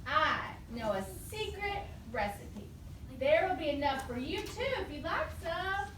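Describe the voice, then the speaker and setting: A woman voicing a cheerful, reassuring small animal traveler with an eager, excitable manner in a live puppet show. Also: extremely high pitch, heavily acted. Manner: excitable, eager, drawn-out delivery. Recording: distant roomy live recording, faint noise.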